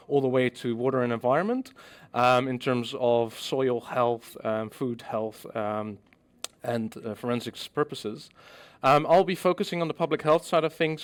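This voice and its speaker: A middle-aged man from the Netherlands giving a scientific conference presentation, measured and explanatory with frequent ums. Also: medium pitch.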